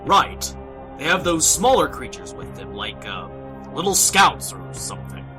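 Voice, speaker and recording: A man's voice: on the high side, big pitch swings, a little slow, over music. A man playing an eager, earnest young warrior hero in a fantasy audio drama. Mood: curious, urgent. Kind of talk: fan audio drama acting.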